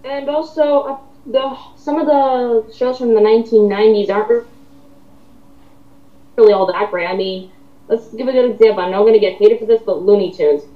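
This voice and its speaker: A woman's voice: on the high side, moderate pace. A woman chatting casually in a group discussion, offering her view in a hesitant, apologetic way. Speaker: discussion participant. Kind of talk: casual chat. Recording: tinny, lo-fi echoey voice chat.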